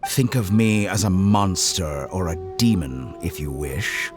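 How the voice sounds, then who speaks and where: Smug mad scientist villain, real low voice, drawls slow and swoops around, faint music behind. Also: male voice, animated. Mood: menacing. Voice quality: smooth, coolly mocking. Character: cold scheming male scientist villain, disguised. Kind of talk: game cutscene voice acting.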